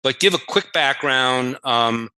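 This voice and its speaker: A man with a strikingly bright, forceful tone hosts the conversation. He circles around his questions, restarting them, and cheers on his guest with eager enthusiasm. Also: medium pitch, a little slow, smooth. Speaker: host. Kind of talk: interview host questions.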